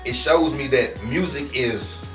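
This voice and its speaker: A man's voice: medium pitch, lively intonation, unhurried, fairly bright tone, reverberant, distant mic, faint music behind. A man from Houston, a rapper being interviewed, talking loosely and casually with lots of fillers. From Houston, Texas. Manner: laid-back, slangy, with many fillers. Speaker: guest rapper.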